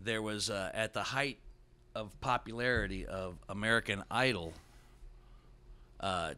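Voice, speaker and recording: A man's voice: on the low side, measured pace, bright, forceful tone, smooth. A man hosting a podcast, chatting casually with a guest and dropping in wry, teasing remarks. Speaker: podcast host.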